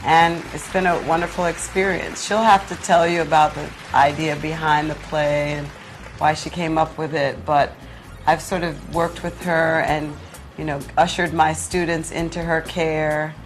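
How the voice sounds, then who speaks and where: Low-voiced woman, faint music behind. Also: level tone, unhurried, poor audio. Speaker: guest, high school drama teacher. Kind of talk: conversational interview answers. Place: small internet show studio.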